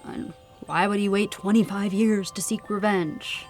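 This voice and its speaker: A woman's voice: mid-range voice, fairly even intonation, a little slow, faint music behind, lightly acted. An actress playing a grieving, anxious young farm woman in an audio drama, suspicious and defiant. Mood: troubled, hesitant.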